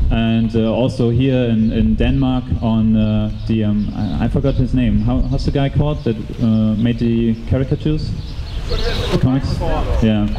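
A man in his twenties with a German accent, giving an unscripted talk outdoors into a handheld mic, halting with many fillers and restarts. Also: medium pitch. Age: 20s. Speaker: speaker at a rally. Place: outdoors in a courtyard by a brick building. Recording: open-air PA reverb, faint noise.

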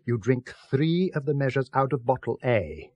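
A man's voice: medium pitch, expressive, normal speed, darkish tone, husky, no room sound, highs cut off. An actor playing an intense, persuasive male scientist in a 1970s British radio drama, articulate and insistent. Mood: instructive, insistent.

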